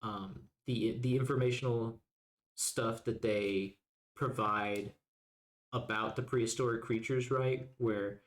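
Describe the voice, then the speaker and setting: A man's voice: medium pitch, fairly even intonation, slow pace. A man co-hosting a podcast, chatting in a laid-back, reflective, conversational way. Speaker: podcast co-host. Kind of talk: casual podcast discussion.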